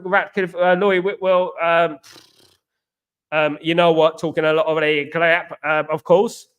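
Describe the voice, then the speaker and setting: A man's voice: high-pitched, fairly bright tone. A man hosting a live football fan stream, chatting casually, reading viewer comments and repeating himself. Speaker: host. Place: China.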